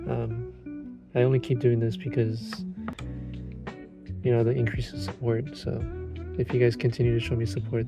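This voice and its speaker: A man's voice: mid-range voice, vocal fry. A man who makes audio roleplays, chatting casually to his listeners in an earnest, rambling way. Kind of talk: casual intro chat to listeners.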